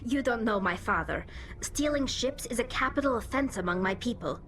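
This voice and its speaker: An actress playing a wry young alien crew member in a video game, lightly ironic. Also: female voice, a little high, lively intonation, moderate pace, fairly bright tone, a little hiss or hum. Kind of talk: video game cutscene acting.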